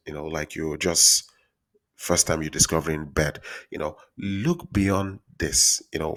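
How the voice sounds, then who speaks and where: A man with a very deep voice and a Nigerian accent talks casually to his viewers and gives relationship advice. He is earnest and repeats himself, calls his listeners guys, and keeps his voice soft because he is on the road. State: speaking softly while traveling. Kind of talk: casual advice monologue to camera.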